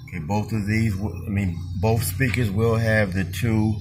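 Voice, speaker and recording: A man's voice: fairly low pitch, fairly even intonation, moderate pace. A man giving a casual, unscripted demonstration as a seller, thinking aloud in a plain, hesitant way. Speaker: online seller demonstrating used goods. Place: indoor room, behind a handheld camera. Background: music from speakers being tested.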